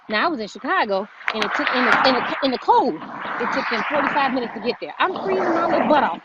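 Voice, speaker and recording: A woman hosting a motivational live vlog, speaking emphatically and repeating phrases for stress. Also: sits high. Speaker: vlog host, fitness motivator. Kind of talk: motivational livestream chat. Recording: muffled, noisy live-stream audio.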